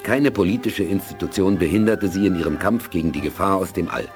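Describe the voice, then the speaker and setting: An actor serving as the composed narrator of a science-fiction radio play, measured and articulate. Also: male voice, low-pitched, close to an everyday voice. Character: composed male storyteller of a science-fiction saga. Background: faint music.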